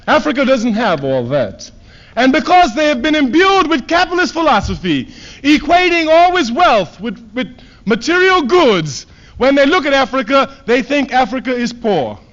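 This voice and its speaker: Trinidad-born guy preaching politics, high voice, lots of repeating himself, dull old tape sound. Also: big pitch swings, moderate pace. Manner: emphatic, repeats phrases, swings from loud to low. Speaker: political speaker. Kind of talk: fiery political speech. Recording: dull lo-fi archive recording.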